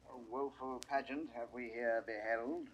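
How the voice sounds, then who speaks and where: A man's voice: medium pitch, steady tone, a little slow, lightly acted. An actor playing a solemn, cautious churchman in a 1960s Shakespearean audio drama. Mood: grave. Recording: dull, hissy vintage recording.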